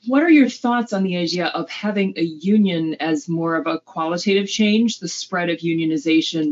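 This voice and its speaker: A woman's voice: lowish voice, darkish tone. A woman asks a long, thoughtful question in an online class, politely and discursively. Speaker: class participant. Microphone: video call. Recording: dull lo-fi call audio.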